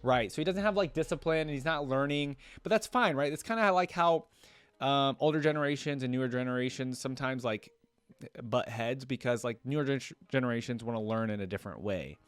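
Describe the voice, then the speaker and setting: A man's voice: mid-range voice, fairly quick, clean tone, no room sound. A man hosting a reaction video, reading story text aloud and commenting in an informal, rambling, conversational way. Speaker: YouTube reaction video host.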